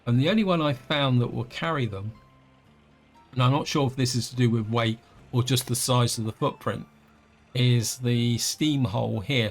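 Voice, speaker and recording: Brief: male let's-play host, gravelly and a bit wheezy, mid pitch, rambling commentary with faint music behind. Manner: rambling, self-correcting, thinking aloud. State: wheezy from an allergic reaction. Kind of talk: casual gameplay commentary.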